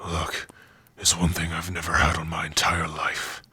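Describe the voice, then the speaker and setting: A man voicing a big, gruff but tender orc warrior in an ASMR roleplay, shy and devoted, speaking haltingly and intimately close to the mic. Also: monotone, dry recording, full character voice. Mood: quiet, devoted. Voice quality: husky, breathy low voice. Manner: slow, halting, softly intimate. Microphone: close dry microphone.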